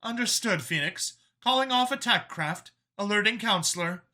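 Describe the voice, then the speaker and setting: Casting: robotic female radio operator played by a man, raised pitch, clipped military formality. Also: expressive, moderate pace, pushed and bright, heavily acted. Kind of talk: audiobook character voice in science fiction story.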